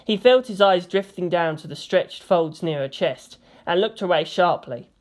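A woman's voice: lowish voice, lively intonation, moderate pace. A woman reading fan fiction aloud as narrator, in a dramatic storytelling style.

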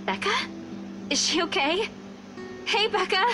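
A woman voicing a caring, anxious, sweet young woman in a visual novel, earnest and expressive. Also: very high-pitched, measured pace, music in the background. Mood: worried.

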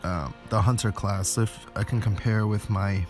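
A man's voice: on the low side, fairly even intonation, moderate pace. A man giving a casual, explanatory game walkthrough, thinking aloud as he goes. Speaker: gaming video host. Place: indoors, voice-over on game footage. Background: game music.

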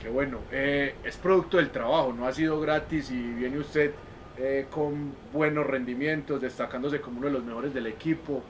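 A man's voice: medium pitch, fairly even intonation, moderate pace. A Colombian man working as an interviewer, asking questions in a conversational way. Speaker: club media interviewer. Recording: faint background ambient noise.

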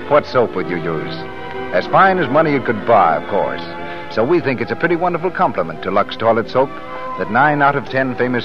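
A man with an American accent announcing and reading ads in a polished, emphatic 1930s radio style. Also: medium pitch. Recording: dull vintage 1930s radio broadcast.

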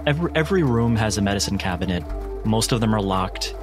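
A man working as game master, narrating a tabletop roleplaying game in a measured, deliberate way with dramatic pauses. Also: lowish voice, expressive, over music.

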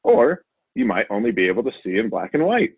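A man's voice: on the low side, a little fast, vocal fry, breathy edge, no room sound, full character voice. Man acting a boastful, swooping TV survival host. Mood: cheerfully reckless. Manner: excitable, showy, cheerfully overconfident. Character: wild, boastful male TV survival show host. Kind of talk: children's storybook character reading. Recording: narrow, phone-like audio.

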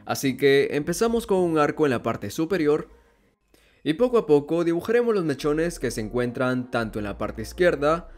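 A man narrating a step-by-step drawing tutorial in a calm, instructional voice-over. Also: on the high side, normal speed, faint music behind. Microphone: voice-over over hands-on drawing footage.

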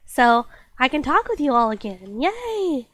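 High-pitched young woman streamer, congested and coughing, chirpy and full of fillers. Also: very animated, measured pace. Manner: bubbly, apologetic, lots of fillers. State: stuffy nose and lingering cough. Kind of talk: casual chat with stream chat.

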